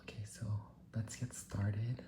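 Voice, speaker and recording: A man's voice: lowish voice, little pitch movement, slow pace. A young man in his twenties, whispering an ASMR-style vlog from the floor, dramatic and self-mocking. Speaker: vlogger. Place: sitting on a floor indoors.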